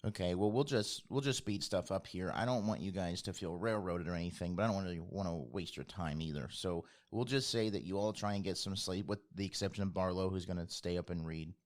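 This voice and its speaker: Low-voiced man narrating a tabletop game. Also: brisk, few pauses. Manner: informal, descriptive. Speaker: game master.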